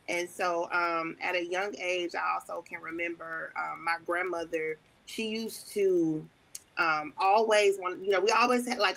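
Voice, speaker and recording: Low gravelly woman from chicago, chatty guest, lots of ums. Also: rough recording. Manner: warm storytelling with many fillers. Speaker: wedding planner and ordained elder, guest. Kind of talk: casual interview talk.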